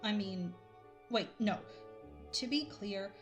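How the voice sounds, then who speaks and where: A woman's voice: medium pitch, animated, takes it slowly, soft background music. A woman playing a fierce, awkward young female dragon in an audio drama, brash and defensive. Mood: flustered, defensive.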